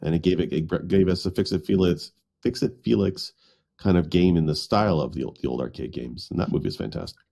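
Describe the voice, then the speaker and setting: A deep-voiced man with a dark, mellow tone and a gravelly fry. He chats casually and shares opinions as a podcast panelist. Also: fast talker.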